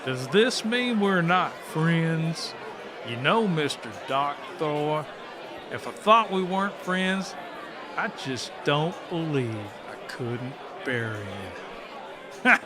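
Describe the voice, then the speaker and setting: An actor voicing a cocky, crude, folksy cowboy-talking bar hustler with a drawling delivery in an audiobook drama. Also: male voice, on the high side, lively intonation, slow pace. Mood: cocky, taunting. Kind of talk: dramatised audiobook character acting. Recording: music and crowd noise behind.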